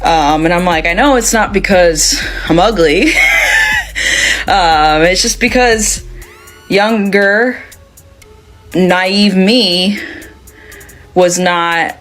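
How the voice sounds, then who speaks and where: Deep-voiced alto woman, frank and hesitant. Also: extremely slow, pauses often, fairly bright tone, faint music behind. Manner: frank, hesitant with many fillers. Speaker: social media poster. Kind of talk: casual direct-to-camera talk.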